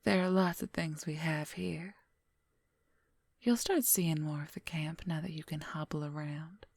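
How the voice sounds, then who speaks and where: A woman voicing an affectionate, teasing werewolf lover in a soft, intimate ASMR whisper, speaking gently and playfully into a close microphone. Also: sits low, fairly even intonation, a little slow, breathy edge, no room sound, heavily acted. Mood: casual, informative. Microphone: close microphone, dry.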